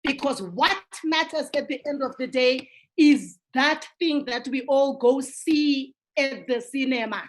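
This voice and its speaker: Emphatic high-voiced woman on a call. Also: a little slow. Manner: forceful, emphatic, repeats for effect. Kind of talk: virtual roundtable discussion. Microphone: video call.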